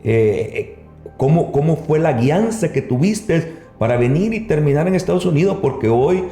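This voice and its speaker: A man with a Salvadoran accent and a soft, dark tone hosts a church interview. He words his questions deliberately, often repeating them. His voice carries room echo, with music underneath. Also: on the high side, animated. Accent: Salvadoran Spanish. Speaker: interviewer, pastor.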